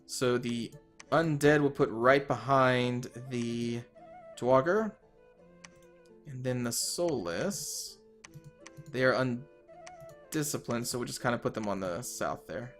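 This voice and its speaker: Slightly hoarse man thinking aloud. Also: mid-range voice, a bit bright. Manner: thinking aloud, trailing off, self-correcting. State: slightly hoarse, losing his voice. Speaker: let's player. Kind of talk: casual strategy game commentary.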